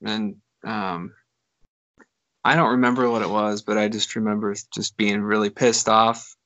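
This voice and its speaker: A man joining the conversation with a quick, casual aside as the interviewee's companion. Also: fairly low pitch, level tone, fairly bright tone, muffled recording.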